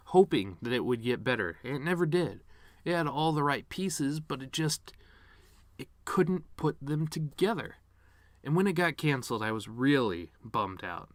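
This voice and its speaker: A man's voice: medium pitch, a bit bright, no echo. A man performing a dramatic monologue as a bitter, grieving middle-aged cartoon horse-man, sardonic, rambling and wounded. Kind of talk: dramatic reading of a eulogy monologue.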